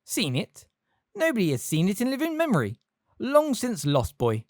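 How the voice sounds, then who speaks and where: A reader voicing a tiny elderly male wizard professor with a squeaky, fussy voice. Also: wildly swooping pitch, moderate pace, no echo, studio quality. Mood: flustered.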